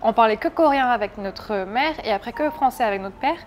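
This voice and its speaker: A woman's voice: a little high, expressive, a little fast, soft background music. A woman in her twenties from France, chatting candidly in an interview and often restarting her sentences. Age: 20s. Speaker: interview guest. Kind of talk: casual interview talk.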